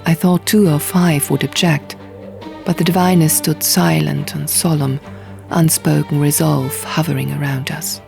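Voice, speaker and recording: A woman's voice: low voice, steady tone, measured pace, vocal fry, a little mellow. A woman reading scripted first-person fantasy fiction as an audiobook narrator. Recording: music behind. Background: music.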